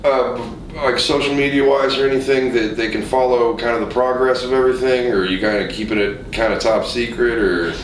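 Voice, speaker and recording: A man's voice: medium pitch, level tone, fairly quick, hardly any pauses, far from the mic, a little hiss or hum. A man co-hosting a podcast, chatting casually in a blunt, sweary way. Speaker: podcast co-host. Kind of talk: casual chat. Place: indoor studio. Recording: roomy, off-mic, voices behind.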